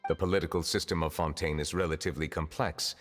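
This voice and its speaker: An ancient, dignified judge in a fantasy game, with an extremely deep voice. He is grave, reserved and courteous, choosing formal words with measured care. Slight hall reverb and soft music surround him. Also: fairly even intonation.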